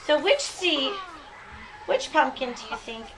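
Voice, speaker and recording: A woman teaching young children, reading aloud expressively and deliberately and checking their understanding with questions. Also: sits high, animated. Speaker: elementary school teacher. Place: school classroom. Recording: noisy classroom, distant microphone.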